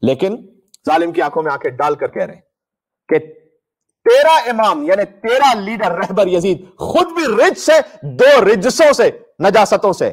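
A man's voice: high voice, very animated, normal speed. Emphatic male preacher in impassioned sermon. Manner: emphatic, declamatory, mournful storytelling delivery. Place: indoor room with draped religious banners, live stream.

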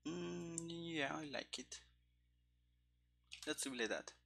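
A man in his thirties giving casual live coding commentary, haltingly thinking aloud into a headset mic. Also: fairly high pitch, vocal fry, bright, forceful tone, slight background noise. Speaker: programmer live-streaming game development. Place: small room indoors at a desk. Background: keyboard typing and clicking.

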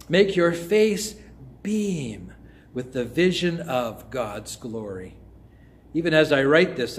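An older man gives a sermon from a church sanctuary, recorded close on a phone or webcam. He talks very slowly and deliberately at a middling pitch, often sinking into a soft, hushed voice to make a point. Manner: slow, measured preaching with drops to a soft, hushed voice. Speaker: pastor. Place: church sanctuary before an altar cross.

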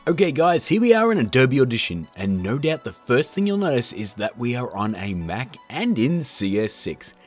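Lively, chatty male tutorial host. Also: medium pitch, slightly rough. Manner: chatty, jokey, informal. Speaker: host of an effects tutorial channel. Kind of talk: casual tutorial presenting.

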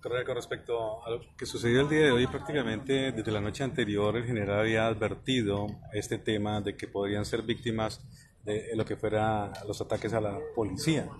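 A man's voice: lowish voice, flat delivery, fairly quick, crowd noise. A man with a Colombian accent, a reporter questioning an official outdoors at a press scrum, over noisy location sound. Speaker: news reporter.

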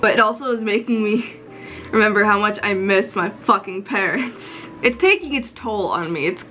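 A woman's voice: mid-range voice, a bit bright. A young American woman from Florida chatting candidly and informally in a casual vlog. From Florida, United States. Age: young adult. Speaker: vlogger and visual novel developer. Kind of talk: casual vlog chat about own project. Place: small indoor home room.

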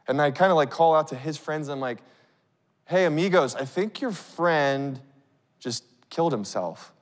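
A man in his thirties preaching on a church stage into a handheld mic, telling a story in a casual, animated way. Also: on the high side, moderate pace. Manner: animated, acts out scenes, casual fillers. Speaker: pastor. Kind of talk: sermon storytelling. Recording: long reverb of a big hall.

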